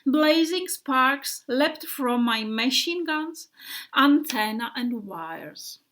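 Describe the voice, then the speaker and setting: A woman's voice: high voice, expressive, takes it slowly, fairly bright tone, exaggerated voice. A woman voicing an earnest male bomber plane in a storybook, vividly telling his friends about a dream. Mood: dramatic.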